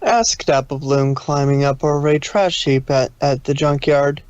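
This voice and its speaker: A man's voice: fairly high pitch, a little slow, a little mellow, clean tone, no echo, highs cut off. Dry-sounding man narrating fan fiction.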